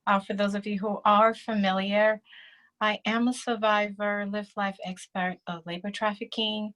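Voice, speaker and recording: A woman's voice: medium pitch, flat delivery, normal speed, fairly bright tone, poor audio. A woman in her forties from Germany, introducing herself as a panelist on a video call. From Germany, with Nigerian roots. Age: 40s.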